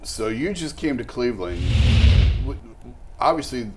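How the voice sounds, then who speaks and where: A man's voice: medium pitch, big pitch swings, measured pace. A man hosting a sports show, steering the talk casually over a very noisy microphone with clicks.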